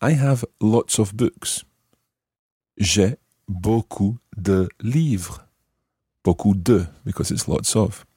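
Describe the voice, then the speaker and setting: Low mellow guy doing a french lesson, explains stuff carefully, dry sound. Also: no room sound. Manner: clear, deliberate teacherly phrasing. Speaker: language lesson host explaining French grammar. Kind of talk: instructional explanation switching between English and French examples.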